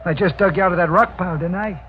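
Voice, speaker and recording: A man's voice: high-pitched, quick pace, close to an everyday voice. An actor playing a cynical, streetwise racket henchman with wry, slangy tough talk, in 1940s radio mystery style. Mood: wry, needling. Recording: tinny, noisy old 1940s radio recording.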